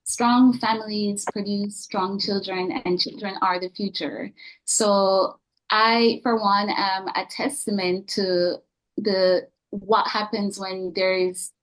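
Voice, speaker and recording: A woman giving an informal welcome speech, earnest and a little halting as she finds her words. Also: medium pitch, steady tone, poor audio. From Jamaica. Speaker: organisation ambassador giving greetings.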